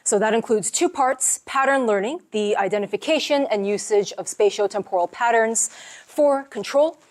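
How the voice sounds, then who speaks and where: A woman from the United States giving an academic seminar lecture as a professor, explaining her lab's work in a relaxed way with frequent fillers. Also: on the high side, moderate pace.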